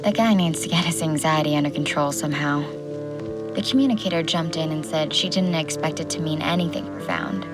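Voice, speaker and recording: A woman's voice: sits low, expressive, fairly quick. An actress playing a young woman doctor who narrates tense events in a scripted first-person audio drama. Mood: observant, wry. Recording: studio narration under loud music. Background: loud music.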